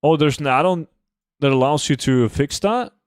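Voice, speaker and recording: A man's voice: fairly high pitch, moderate pace, clean tone, no echo, studio quality. A man from Norway, chatting casually and bluntly as he livestreams a game, swearing freely. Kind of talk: casual livestream chat while gaming.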